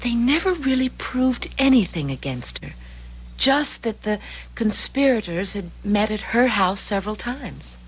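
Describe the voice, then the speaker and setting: A woman's voice: on the high side, lively intonation, a little slow, breathy edge, dull audio. An actress playing an earnest, anxious but loyal young woman traveling through time, in old-time radio drama style. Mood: recalling, unsure.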